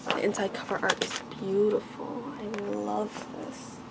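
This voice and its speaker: Soft, creaky-voiced woman reading ASMR bedtime story. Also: mid-range voice, completely flat delivery, measured pace. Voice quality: soft, breathy, often slipping into whisper. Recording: noticeable background noise.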